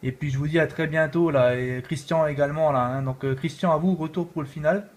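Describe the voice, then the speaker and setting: A man's voice: fairly high pitch, fairly even intonation, brisk. A man chatting casually as an amateur radio operator, rambling along with repeated filler tags. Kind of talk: casual radio contact chat.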